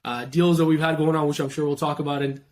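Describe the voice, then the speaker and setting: Fast-talking young man, steady and reflective. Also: on the high side. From Iran. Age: young adult. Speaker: guest. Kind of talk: casual interview talk.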